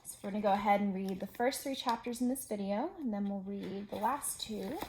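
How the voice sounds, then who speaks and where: A woman with a mid-pitched voice reads a storybook aloud as a preschool teacher. She talks straight to young children and explains things simply between passages. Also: fairly quick, a little mellow, a little hiss or hum.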